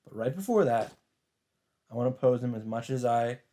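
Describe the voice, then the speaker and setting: A man's voice: medium pitch, animated, moderate pace, warm tone. A man doing a dramatic read-aloud as host of a visual novel playthrough. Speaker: let's play host reading aloud. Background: faint game music.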